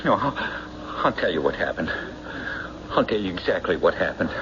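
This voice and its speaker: A man playing a desperate, hot-tempered war veteran in an old radio drama, speaking in an agitated, halting, insistent way on a muffled recording. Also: deep voice, big pitch swings, noisy background. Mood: anxious, pleading.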